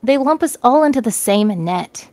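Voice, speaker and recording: A woman's voice: high voice, lively intonation, normal speed, darkish tone, no room sound, voice pushed up. A woman voicing a fervent young female student activist in a read-aloud story.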